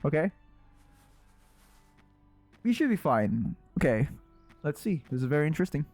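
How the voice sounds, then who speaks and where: A man doing casual live gameplay commentary, exclamatory and quick to swear. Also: fairly high pitch, very animated, a little mellow, soft background music. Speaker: game streamer.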